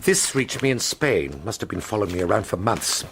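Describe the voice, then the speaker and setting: A man's voice: fairly low pitch, sing-song, a little fast, creaky, noisy background. An actor playing a rueful, sardonic, boozy painter who pines for his wife, in a radio drama. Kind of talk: radio drama acting with first-person narration.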